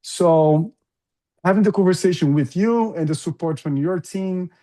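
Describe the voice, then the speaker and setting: A man's voice: high voice, measured pace, warm tone. A middle-aged man from Brazil with a Brazilian accent, speaking as an interview guest in an enthusiastic, conversational way with frequent fillers. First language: Portuguese. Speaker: guest, recruiting business founder.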